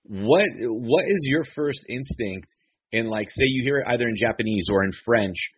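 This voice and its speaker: Husky man interviewer, rambling questions. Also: mid-range voice, animated, moderate pace. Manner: rambling, filler-heavy questions. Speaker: entertainment interviewer. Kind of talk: press interview.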